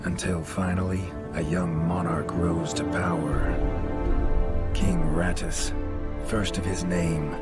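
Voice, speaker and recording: A man playing a grave, weary storyteller, narrating a dark fantasy game in a solemn, deliberate, portentous manner. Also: sits low. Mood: solemn, stately. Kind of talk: slow scripted storybook narration.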